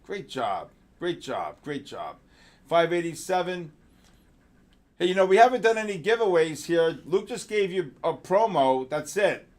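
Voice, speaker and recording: A man's voice: on the high side, sing-song. A middle-aged man from New York with a New York accent, hosting a live trading stream in casual, rambling, jokey chat and calling out viewers by name. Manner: drawn-out announcer-style calls. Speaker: trading livestream host.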